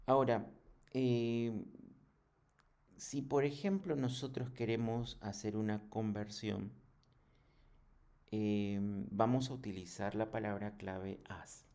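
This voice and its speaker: Guy doing a coding tutorial, kinda low voice, slow and halting, explains step by step. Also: vocal fry, darkish tone. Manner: halting, didactic, with frequent check-in questions. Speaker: programming instructor. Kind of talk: screen tutorial lesson.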